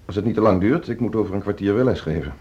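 An actor playing an ambitious, stubborn orchestra conductor in a naturalistic radio drama, brusque, self-assured and dismissive. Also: male voice, fairly low pitch, very fast, a little mellow, close to an everyday voice. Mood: dismissive, impatient. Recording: dull, muffled radio play recording.

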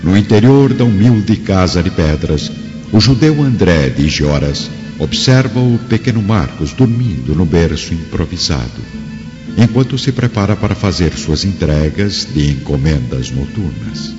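A man's voice: low-pitched, expressive, a little slow. A man giving solemn, formal dramatic narration for a radio drama. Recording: reverberant, muffled, music and effects behind.

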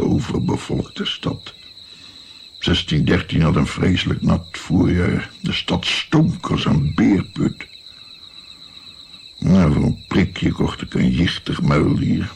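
Older musician-doctor, wry hesitant reminiscence, very low voice, radio play, faint chirping background noise. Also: male voice, vocal fry, a little mellow, heavily acted. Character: old musician-physician recalling his naive youth to a young woman.

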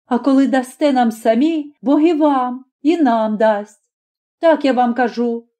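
A woman voicing a bold young peasant son who reproaches his mother in a sharp, taunting way. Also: sits high, a little slow. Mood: reproachful.

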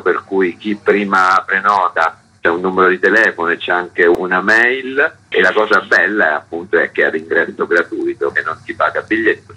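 Deep husky-voiced man, interviewed on radio. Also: bright, forceful tone. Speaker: theatre artistic director interviewed as guest. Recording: muffled radio broadcast.

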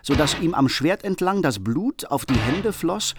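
A man's voice: a little high, sing-song, unhurried. A man narrating a scripted radio play as storyteller. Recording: music behind the voice.

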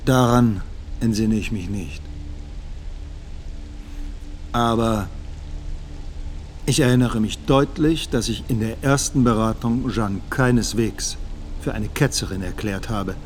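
An actor playing a careful middle-aged theology professor giving formal, measured testimony in a radio drama. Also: male voice, lowish voice, a little hiss or hum, natural voice. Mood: defensive, deliberate. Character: guarded, careful 56-year-old theology professor testifying as witness.

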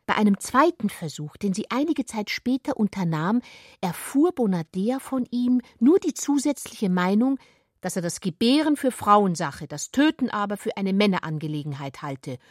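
Expressive woman narrator with a husky edge. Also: medium pitch, moderate pace, no echo, studio quality. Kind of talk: literary narration for a radio play.

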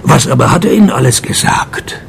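An actor playing a wry, deadpan male private detective in a noir parody radio play. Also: mid-range voice, brisk, gravelly, slight background noise. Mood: sardonic. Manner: dry, sardonic, deadpan. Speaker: lead actor and narrator. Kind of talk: noir parody radio play, first-person narration and dialogue.

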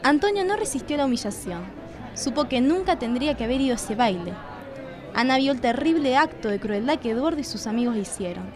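Casting: Argentine female radio-drama narrator, highish voice, scripted storytelling over clear music. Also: expressive, fairly quick, a crowd in the background.

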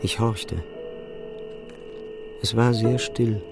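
Troubled, creaky-voiced male narrator. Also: medium pitch, steady tone, extremely slow, over music. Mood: hushed suspense. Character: troubled man recounting his own dark memories. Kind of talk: literary first-person past-tense narration in audio drama.

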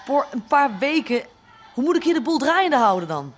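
A woman's voice: sits high, very animated, normal speed. Direct, pressing woman over faint music. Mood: dismayed. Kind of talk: naturalistic radio drama acting.

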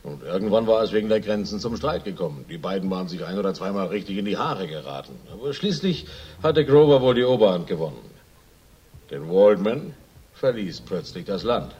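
An actor playing a bulky, evasive middle-aged racehorse owner and former miner in a radio crime drama, hesitant and self-justifying. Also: male voice, low-pitched, expressive, darkish tone, highs cut off. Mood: hesitant, chatty. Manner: hesitant, halting, self-justifying, with stumbling fillers.

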